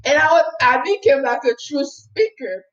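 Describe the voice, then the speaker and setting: A woman healer giving an earnest motivational talk, telling a personal story in a soft, lilting voice and often checking in with "okay?". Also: sits high, lively intonation, normal speed, muffled recording, faint music behind. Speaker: spiritual healer and mindset coach.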